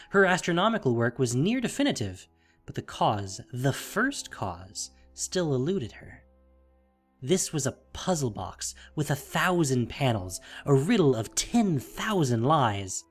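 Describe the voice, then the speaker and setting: A man narrating a scripted fantasy audio drama with measured, theatrical phrasing. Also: medium pitch. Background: music.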